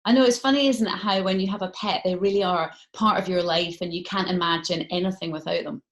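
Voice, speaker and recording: A woman's voice: lowish voice, fairly even intonation, quick pace. A British woman hosting a casual interview, chatty and sympathetic in manner. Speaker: radio interviewer. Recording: echoey room.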